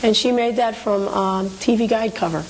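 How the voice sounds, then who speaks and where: A woman chatting as an interview guest in a low-key, hesitant way. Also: normal speed. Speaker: guest, store owner and collector. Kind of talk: casual interview. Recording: hissy with faint background noise.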